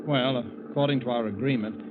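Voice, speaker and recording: A man's voice: mid-range voice, fairly even intonation, brisk, background noise, lightly acted. A man playing an anxious, scheming thief with an urgent, questioning manner in 1940s radio drama style. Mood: suspicious. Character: anxious, scheming thief Herbert, desperate for hidden money. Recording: muffled old radio recording.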